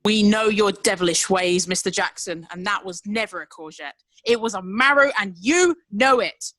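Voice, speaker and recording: A woman's voice: mid-range voice, normal speed, fairly bright tone, no echo, natural voice. A woman playing a proud, indignant male butcher defending himself in a period courtroom play, with an accusing manner. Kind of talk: theatrical courtroom play acting.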